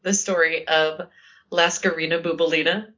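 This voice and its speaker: A woman's voice: fairly even intonation, normal speed, fairly bright tone, lo-fi sound. Commanding-voiced woman podcast co-host. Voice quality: commanding, low-sounding voice. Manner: joking, chatty, says "like" often. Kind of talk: casual podcast chat, telling a history story.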